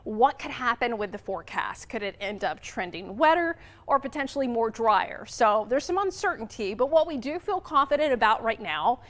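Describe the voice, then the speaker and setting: A woman's voice: fairly high pitch, lively intonation, normal speed, few pauses. A woman delivering a TV weather forecast, chatty and upbeat with light self-joking banter. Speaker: TV meteorologist.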